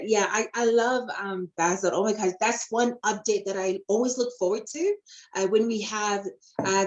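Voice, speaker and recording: A woman's voice: mid-range voice, a little mellow, dull audio. A woman hosting a casual online interview, gushing and effusive, with frequent fillers. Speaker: host.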